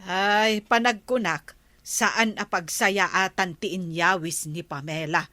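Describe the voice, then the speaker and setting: A woman's voice: on the low side, slow, pushed and bright, no room sound. A woman playing a nosy village woman in an Ilocano radio comedy, with an Ilocano accent. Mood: inquisitive.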